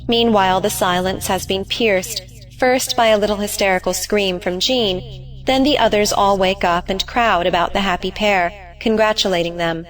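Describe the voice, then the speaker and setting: A woman's voice: medium pitch, fairly even intonation, normal speed, faint music behind, low-quality recording. A woman giving scripted narration, reading out the stage directions of a play.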